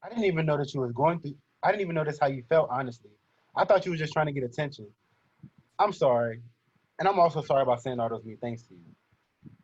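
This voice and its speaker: Young man acting brash gamer, husky, animated. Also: on the high side, fast, dull audio. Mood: apologetic. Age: young adult. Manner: blunt, cocky. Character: Danny, brash, blunt young competitive gamer. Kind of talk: student one-act play acting.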